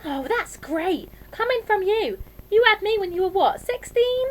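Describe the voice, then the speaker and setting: A woman voicing a defiant, lovesick teenage girl in an audiobook, indignant and wailing, often shouting. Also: high voice, animated, takes it slowly, voice pushed up. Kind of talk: audiobook character voice.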